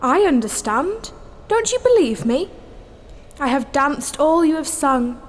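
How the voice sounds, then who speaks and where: Idealistic young dancer heroine, high and unhurried, earnest and declamatory, theatrical morality play. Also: female voice, sing-song. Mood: tender, earnest. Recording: noisy, reverberant hall recording.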